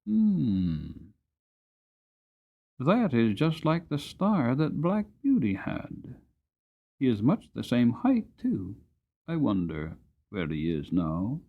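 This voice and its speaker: A man voicing an excitable, kindly young groom in a storybook, exclaiming and musing with affection. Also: mid-range voice, slow, vocal fry, pauses often, narrow, tinny audio, exaggerated voice. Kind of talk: dramatic storybook character reading.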